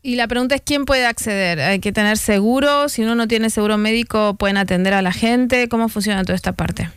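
A woman's voice: a little high, a little fast, clean tone, no echo, clean studio sound. A woman hosting a conversational interview, fluent and engaged, affirming her guest as she goes. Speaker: interviewer, host.

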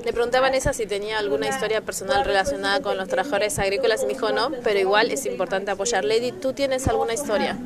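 Female radio host over a loud crowd. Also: medium pitch, steady tone, fast, few pauses. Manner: curious, direct questioner, playful asides. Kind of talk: live on-location radio interview. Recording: loud crowd behind the voice at a live event.

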